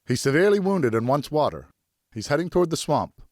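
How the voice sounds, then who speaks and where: Man acting a steady hunter father, raised pitch. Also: a little fast, dry recording, studio quality. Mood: excited. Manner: reassuring, instructive. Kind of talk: audiobook character acting.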